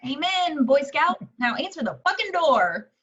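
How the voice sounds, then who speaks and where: A woman's voice: high-pitched, very animated, unhurried, fairly bright tone, dull audio, full character voice. A woman playing a bossy, sarcastic horror-film heroine in an amateur table reading, blunt and emphatic. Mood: bossy, scornful.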